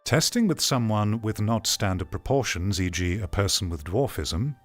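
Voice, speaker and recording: A man's voice: fairly low pitch, expressive, moderate pace. A man giving blunt, opinionated live commentary as a streamer, ranting and sometimes swearing.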